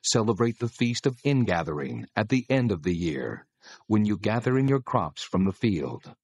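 A commanding divine lawgiver, low voice, solemn n measured, close dry audio bible drama. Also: male voice, big pitch swings, husky, no echo, exaggerated voice. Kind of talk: dramatized scripture reading.

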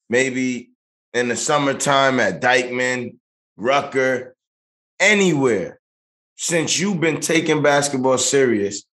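Young guy hosting a podcast, voice bounces up and down a lot, mid pitch, quick reactions. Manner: curious and quick to react, laughs along. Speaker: podcast host. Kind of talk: casual interview chat. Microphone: podcast mic with headphones.

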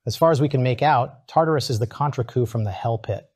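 A man's voice: mid-range voice, quick pace, darkish tone, husky, no room sound, studio quality, close to an everyday voice. An actor playing a keen scientist in an audiobook, explaining things precisely to his superiors. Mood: absorbed, explaining. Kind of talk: audiobook character acting.

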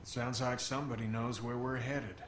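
A man's voice: mid-range voice, level tone, brisk, natural voice. An actor playing a resigned, subdued and bitter male survivor in a dramatic video game. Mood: glum. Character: glum, resigned male train-driving survivor. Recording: echoey, loud engine noise behind.

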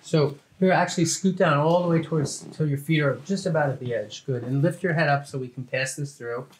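A man's voice: a little high, brisk. A middle-aged man, a chiropractor, giving casual, short, plain hands-on instructions to a patient. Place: small indoor treatment office. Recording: clearly noisy room with clicks.

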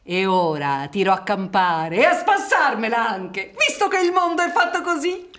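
An actress in a radio drama playing a brisk, cynical village woman, theatrical, with drawn-out words and scornful laughs. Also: mid-range voice, big pitch swings, fairly bright tone. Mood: mocking, bitter.

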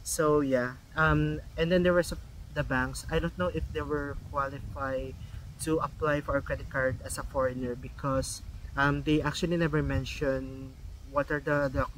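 A man's voice: mid-range voice, fairly even intonation, normal speed, fairly bright tone, slight background noise, rough recording. A young Filipino man with a Filipino accent and Filipino as his first language, vlogging casually, with many ums and restarts. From Philippines. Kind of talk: casual vlog talk.

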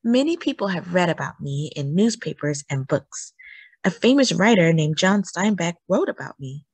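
Woman, children's read-aloud storyteller, slightly low pitch, husky edge, very animated intonation, deliberate emphasis. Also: no room sound. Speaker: children's book reader.

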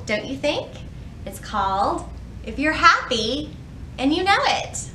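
High swoopy lady doing storytime for little kids, takes it slow, expressive, bit of rumble in the room. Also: low-quality recording. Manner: expressive, animated, addressing children directly. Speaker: children's librarian hosting storytime. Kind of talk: read-aloud storytime for young children. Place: indoors in a library.

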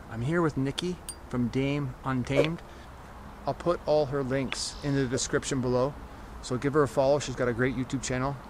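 A man in his forties hosting a casual exploring vlog, talking excitedly on location into a handheld camera mic. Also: mid-range voice. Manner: enthusiastic, exclaiming as he explores. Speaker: urban explorer vlogger. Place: outdoors on a ship deck, then inside an abandoned ship. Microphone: handheld camera mic, selfie framing. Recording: outdoor ambient noise on camera mic.

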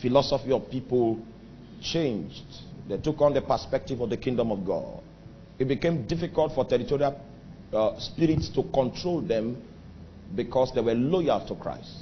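A man's voice: animated, lo-fi sound, a little hiss or hum. A Nigerian man preaching a sermon, emphatic, drawing out key phrases and rising suddenly. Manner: emphatic preaching with sudden pitch and volume swings, drawn-out phrases. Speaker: pastor.

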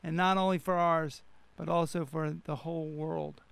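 High-pitched gravelly man preaching on radio. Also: flat delivery, moderate pace. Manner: emphatic, repeats phrases for stress. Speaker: pastor and radio co-host. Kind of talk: animated radio preaching and banter.

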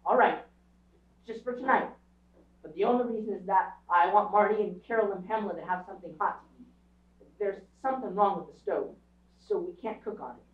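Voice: medium pitch, a little fast, lightly acted. A performer playing a proud, defiant young boy in a stage radio drama, blunt and insistent. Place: small theatre stage, recorded from audience camera. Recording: dull, echoey stage recording from far off.

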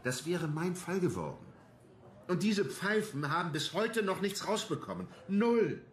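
An actor playing a gruff, combative, embittered police detective in a radio crime drama, talking bluntly and coarsely. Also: male voice, sits high, very animated, measured pace. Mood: bitter, agitated. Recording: roomy sound with faint noise.

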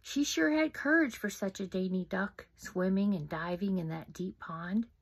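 A middle-aged woman reading her own children's book aloud at home, interactive, with soft, often whispered asides to young listeners. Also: mid-range voice, moderate pace, breathy edge. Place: home room, indoors. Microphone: phone camera close up.

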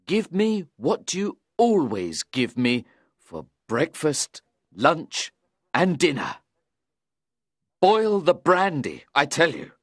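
A man voicing a rude, demanding young drunk in an audiobook, with slurred, drawn-out shouting. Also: on the high side, wildly swooping pitch, no echo, clean studio sound, pitched above the performer's own voice, exaggerated voice. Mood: drunk, insistent. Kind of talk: audiobook character voice.

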